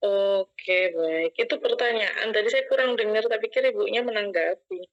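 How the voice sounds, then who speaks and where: A woman lecturer runs the question round of an online class, her voice dry and a little muffled over the call. She keeps her prompts short and brisk. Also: medium pitch, no echo. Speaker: lecturer moderating. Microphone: video call.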